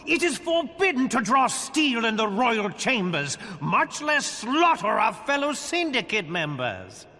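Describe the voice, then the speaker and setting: A haughty fantasy-game official with an extremely high voice. He speaks slowly and imperiously, with theatrical indignation. A long hall reverb trails his words. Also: big pitch swings, bright, forceful tone, exaggerated voice. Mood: indignant. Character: haughty, scheming male syndicate official. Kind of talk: video game cutscene acting. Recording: reverberant hall, faint background noise.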